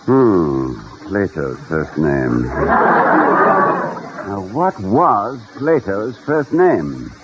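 A genial, courtly college president in a vintage radio comedy. His talk is hesitant and wordy, with very lively swings of pitch. The old broadcast is muffled and heavily noisy. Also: very slow, full character voice. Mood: hesitant, pedantic. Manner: hesitant, wordy, urbane, gently self-mocking. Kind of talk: 1940s-50s radio comedy acting.

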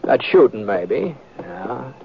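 An actor playing an easygoing, seasoned western lawman and tracker in an old radio drama, with a hesitant, folksy, unhurried way of talking. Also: fairly low pitch, sing-song, vocal fry, heavily acted. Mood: calm, weary. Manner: hesitant, folksy drawling delivery with murmured asides. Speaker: lead actor and narrator of the story. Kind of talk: 1940s-50s radio western acting and narration. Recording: noisy, muffled old radio recording with clicks.

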